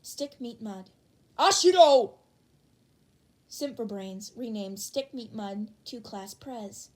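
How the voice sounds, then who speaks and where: A woman's voice: mid-range voice, takes it slowly, darkish tone. A woman narrating fan fiction as an audiobook reader, working from a script.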